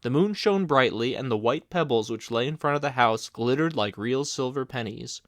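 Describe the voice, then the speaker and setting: A man's voice: medium pitch, moderate pace, no room sound, studio quality. Man reading a fairy tale aloud. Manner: steady, clear storybook reading. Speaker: narrator.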